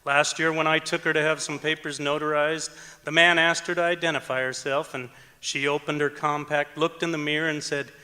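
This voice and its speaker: A man's voice: a little high, flat delivery, brisk, bright, forceful tone. A man playing an affable, chatty, joking tax accountant in old-time radio comedy style. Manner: polite, chatty, jokey. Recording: echoey auditorium stage performance.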